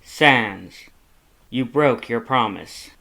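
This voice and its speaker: A man voicing a loud, fussy skeleton who is the younger brother, scolding emphatically in a fan fiction audiobook reading. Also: mid-range voice, extremely slow, creaky, exaggerated voice, low-quality recording. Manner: scolding, emphatic, rising indignation.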